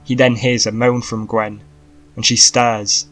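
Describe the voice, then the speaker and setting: A man's voice: medium pitch, little pitch movement, unhurried, fairly bright tone, faint music behind. A man narrating a scripted fantasy audiobook in a dramatic storytelling style.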